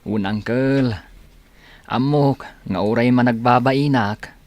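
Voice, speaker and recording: A man's voice: medium pitch, slow, a little mellow, dry recording, muffled recording, close to an everyday voice. A man acting a shy, hopeful young younger brother in an Ilocano radio drama.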